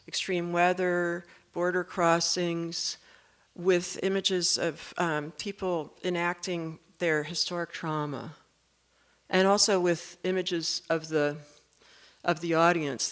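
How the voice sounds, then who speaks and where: Artist, slide presentation, mid-range pitch, halting measured phrasing. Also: fairly bright tone. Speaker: artist presenting own work.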